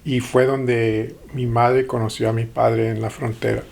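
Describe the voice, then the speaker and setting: A middle-aged man from the Texas-Mexico border, a guest in an interview, speaking with hesitant pauses and fillers. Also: mid-range voice, little pitch movement, vocal fry, darkish tone. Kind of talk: interview conversation.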